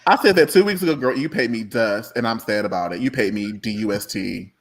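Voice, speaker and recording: A man in his thirties chatting on a panel, expressive and sassy, laughing often. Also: lowish voice, a little fast. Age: 30s. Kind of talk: casual panel chat.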